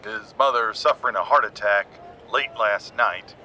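A sharp-toned sports commentator. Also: male voice, fairly low pitch, moderate pace, a little hiss or hum. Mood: measured. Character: slow, earnest male TV sports commentator.

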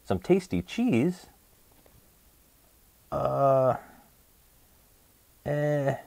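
A man voicing a hurried, muddled young boy on an errand in a children's picture book, reciting in a breezy singsong. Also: medium pitch, lively intonation, creaky, darkish tone, dry recording, heavily acted. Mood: hurried, confused.

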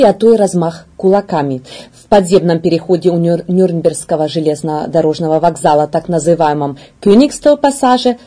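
Female news presenter, thirties, from Ukraine. Slightly low, mellow, husky voice; scripted studio read. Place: small indoor video studio.

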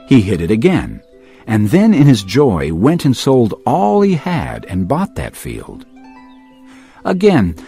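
An earnest male teacher in an audio Bible drama, telling parables to crowds. His mid-pitched voice swings widely and expressively while keeping a measured storyteller's delivery, with music underneath. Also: mellow. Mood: earnest storytelling.

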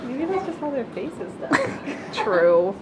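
A woman's voice: high voice, very animated, slow, low-quality recording. A young woman casually vlogging and talking playfully to cats, filmed on a handheld camera indoors. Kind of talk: casual vlog chat. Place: busy indoor cat cafe. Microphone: handheld camera at arm's length. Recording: loud crowd chatter and background noise.

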